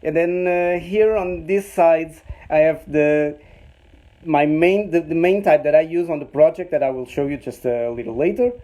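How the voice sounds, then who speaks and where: A Portuguese man in his thirties, speaking English with many pauses and restarts as he gives a casual tour of his small home workshop. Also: fairly high pitch, warm tone. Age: 30s. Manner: hesitant, many fillers and restarts, chuckles often. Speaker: host showing his home print workshop. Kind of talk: casual live-stream tour. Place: small home machine room.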